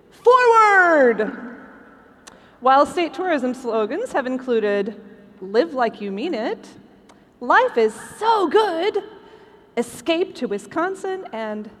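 High-voiced woman hosting in an echoing hall. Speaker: podcast host on stage. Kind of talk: scripted live stage presentation with jokes. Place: large convention hall stage, live show. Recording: live hall with long reverb.

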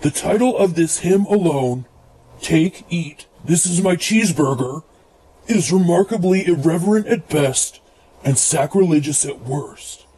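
A man plays a stern church official in a comic radio sketch. The voice is high for a male and rough-edged, with grandiose, officious formality as it delivers written rejections. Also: expressive, unhurried, mellow, rough recording. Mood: disapproving, grave. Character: pompous, stern church doctrinal reviewer reading out rejection letters. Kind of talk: comedic radio theatre letter reading.

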